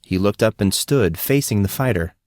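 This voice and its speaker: A man's voice: on the low side, animated, normal speed, a little mellow, no echo, clean studio sound. A man narrating a scripted fantasy story as an audiobook narrator.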